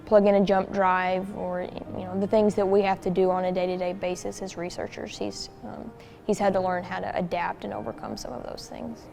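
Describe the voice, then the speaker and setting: A woman's voice: fairly low pitch, moderate pace, vocal fry, a little mellow, faint music behind. A woman giving conversational interview comments about a colleague. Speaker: interviewee, research classmate.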